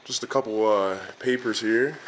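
A man's voice: lowish voice, moderate pace. A man casually chatting and swearing offhand while filming an abandoned building on a handheld camera. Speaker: urban explorer filming. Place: abandoned building, indoors and outdoors. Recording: noisy camera audio.

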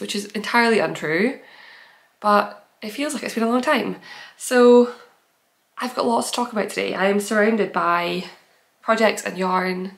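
A woman's voice: mid-range voice, gravelly. A young woman hosting a knitting podcast, chatting casually and giggly to camera from a small room at home, sounding nasal from a head cold. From based in Edinburgh. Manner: chatty, giggly, rambling with fillers.

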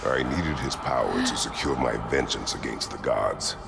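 An actor playing a stern, brooding older warrior god who speaks in terse, formal, grave phrases with a gravelly voice. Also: male voice, extremely low pitch, normal speed. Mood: stern, cold. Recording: game music behind the dialogue.

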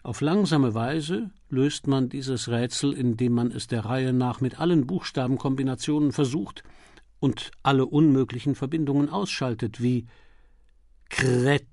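Man, medium pitch, gravelly creak, dry close sound, scripted crime narration, deliberate and dramatic. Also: mellow, no room sound. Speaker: audiobook narrator.

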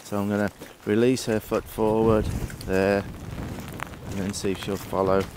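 A man's voice: lowish voice, flat delivery, moderate pace, noisy background. A man working with a horse outdoors, explaining each step as he goes. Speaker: horse trainer. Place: outdoors in a paddock.

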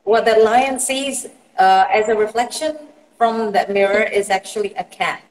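A woman's voice: mid-range voice, moderate pace, long reverb tail, rough recording. A woman from Indonesia in her thirties, a guest psychologist who explains earnestly on a live video call, with many fillers and restarts. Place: bright room indoors. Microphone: phone at arm's length.

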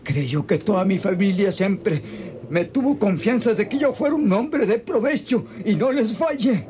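Radionovela role: dying-sounding old Mexican patriarch, very high, wailing, melodramatic, delirious. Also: male voice, expressive, unhurried, a little mellow. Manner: melodramatic, moaning, rambling. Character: delirious feverish old ranch patriarch, anguished, defiant father. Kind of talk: old Spanish-language radionovela acting. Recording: noisy old radio drama recording.

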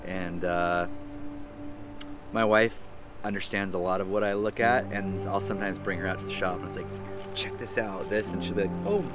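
A man's voice: deep voice, fairly even intonation. A man in his thirties from the United States, a furniture maker speaking reflectively in a documentary interview, over background music. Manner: soft-spoken, reflective, unhurried. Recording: music bed underneath.

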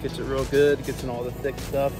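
A young guy you would meet on a family work day outside, mid-pitched, faint music behind. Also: little pitch movement, brisk. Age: young adult. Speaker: vlog guest. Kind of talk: casual chat. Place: outdoors among young pines. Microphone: phone camera a few feet away.

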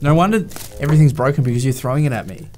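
A man's voice: mid-range voice, lively intonation, racing, a little mellow, a little hiss or hum. A man in his twenties co-hosting a gadget video in a studio, chatting casually with slang, jokes and swearing. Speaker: co-host testing gadgets. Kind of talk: casual banter. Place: small indoor studio at a table.

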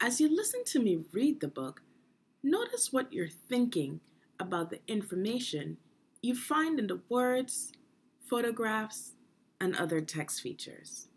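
A middle-aged woman with a slightly husky voice, giving a deliberate, instructive read-aloud lesson for young children. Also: on the high side, very animated. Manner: deliberate, instructive, with pauses and questions. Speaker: education consultant reading aloud to children.

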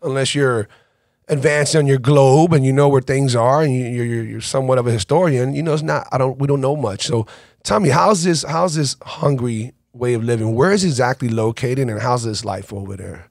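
A man in his forties hosting a podcast, chatting energetically with his guest in a small studio on a boom-arm mic. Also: a little fast, creaky, darkish tone, no echo. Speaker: podcast host. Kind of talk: casual interview. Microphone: boom-arm studio mic with headphones.

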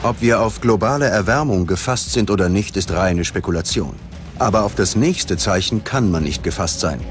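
A man's voice: lowish voice, animated, normal speed. A man narrating a scripted documentary in a dramatic, ominous manner. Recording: loud music behind the voice. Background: music.

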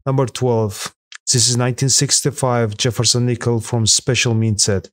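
A man reading a scripted voice-over that counts down rare coins sold at auction, in a steady, even manner with an occasional slip. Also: mid-range voice, moderate pace. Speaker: narrator of a coin auction countdown. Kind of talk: scripted voice-over narration read aloud.

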